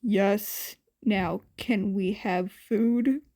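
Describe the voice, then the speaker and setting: A woman voicing a blunt, protective grown man in a podfic story, with a dry, deadpan manner. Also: mid-range voice, extremely slow, voice pushed down. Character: gruff, dry but protective adult man, hero.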